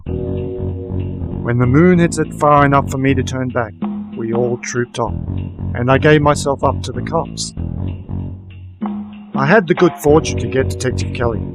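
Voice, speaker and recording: A male actor as a weary, wry private eye with a gravelly, mid-pitched voice. He tells his story deadpan, noir radio play style, over clear guitar music. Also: rough recording. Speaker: narrator and protagonist. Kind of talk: first-person noir radio play narration.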